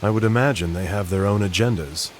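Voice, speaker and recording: Man acting world-weary gentleman, video game, deep voice, measured, solemn, faint hiss. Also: natural voice. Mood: solemn, reflective.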